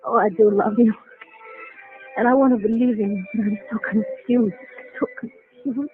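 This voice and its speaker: An actress playing an earnest, lovestruck and troubled young woman in a mid-century radio melodrama, measured and dramatic. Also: on the high side, steady tone, natural voice. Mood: anxious, tender. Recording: tinny vintage radio recording, music behind.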